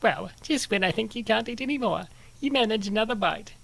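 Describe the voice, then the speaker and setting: Man doing a pushy, higher-pitched girl best friend. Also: expressive, fairly quick, creaky, fairly bright tone, full character voice. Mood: egging on. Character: pushy, enabling young female best friend, cajoling and cheeky. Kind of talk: comic dub character acting.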